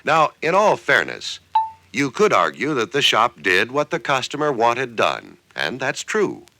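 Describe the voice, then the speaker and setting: Animated American man narrating a vintage training film. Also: medium pitch, unhurried, no room sound. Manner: conversational, persuasive, emphatic phrasing. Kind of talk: scripted narration, 1960s industrial training film.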